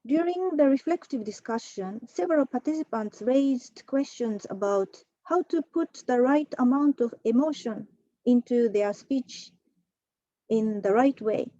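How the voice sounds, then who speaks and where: A woman presenting a prepared academic talk at an online conference, measured, with a few fillers. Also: a little high, warm tone, dry recording, muffled recording. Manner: measured, reads from a prepared text with occasional fillers. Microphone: computer mic for an online talk.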